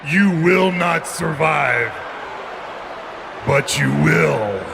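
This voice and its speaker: An actor playing a menacing undead wrestler, speaking slow, solemn threats in a gravelly voice. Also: male voice, on the high side, expressive, very noisy, heavily acted. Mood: ominous threat.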